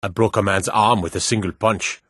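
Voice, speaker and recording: An actor playing a gruff, proud Nord guard in a fantasy video game, blunt and curt with folksy rustic phrasing. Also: male voice, lowish voice, fairly even intonation, normal speed, fairly bright tone, dry recording, clean studio sound. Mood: blunt, matter-of-fact.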